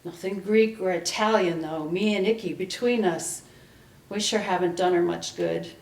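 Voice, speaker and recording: A woman reads a story aloud as a dramatic performance. Her voice is slightly low, with a lot of fry in it. It is picked up from a distance in an echoing room. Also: moderate pace, darkish tone. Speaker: reader in a literary ensemble. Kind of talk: dramatic reading of fiction. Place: library event room, live reading. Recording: roomy, distant microphone in a hall.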